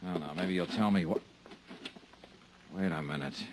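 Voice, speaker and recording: A man's voice: sits low, normal speed, a little mellow, a little hiss or hum, natural voice, low-quality recording. An actor playing a dry, wry, streetwise male insurance investigator in a 1950s radio drama. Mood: wary. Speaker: lead investigator.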